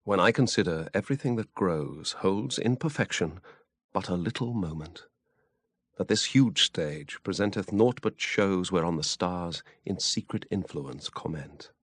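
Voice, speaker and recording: Deep, slow man reciting verse. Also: expressive, a bit bright, breathy edge, dry recording, studio quality. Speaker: poetry reader. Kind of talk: solemn poetry recital.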